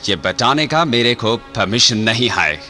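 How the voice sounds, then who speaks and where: Radio drama: deferential British police commissioner. Slow, forceful, formal, British-accented Hindi. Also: male voice, mid-range voice, lively intonation. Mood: evasive. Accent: British-style accented Hindi, put on. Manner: halting, formal, mixes in English words. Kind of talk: radio play acting. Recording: music behind the voice.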